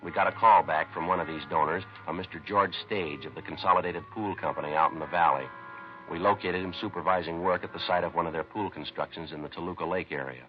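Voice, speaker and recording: Guy playing deadpan american cop in old radio drama, talks fast and low, clipped. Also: natural voice. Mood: matter-of-fact. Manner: clipped, deadpan, matter-of-fact. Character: businesslike, terse male police sergeant detective. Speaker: lead actor and narrator. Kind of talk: 1950s radio police drama, clipped scripted narration. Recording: dull 1950s radio recording, music behind.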